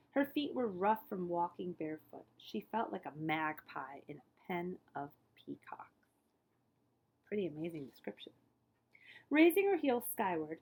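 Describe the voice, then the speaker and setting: A woman reading a children's story aloud as a librarian, with expressive emphasis and phrases that often fall hushed. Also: mid-range voice, gravelly, breathy edge, rough recording.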